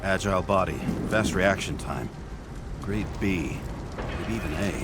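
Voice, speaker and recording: A man's voice: low voice, a little slow, loud music behind the voice. An actor playing a jaded veteran police detective in a noir video game, terse and dryly sardonic as he works through a crime. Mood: grim, narrating. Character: jaded veteran male police detective, hard-boiled and wry.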